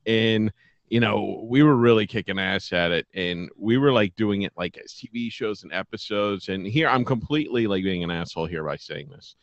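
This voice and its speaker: Male podcast co-host, casual, halting, rambling and sweary, dry close recording. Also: fairly low pitch, no room sound, dull audio.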